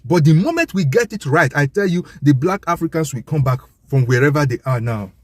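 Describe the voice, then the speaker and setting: A man's voice: fairly high pitch, sing-song, fairly quick, no room sound. Emphatic Nigerian man, close dry sound. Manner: emphatic, repetitive, assertive. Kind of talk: impassioned informal monologue to an audience.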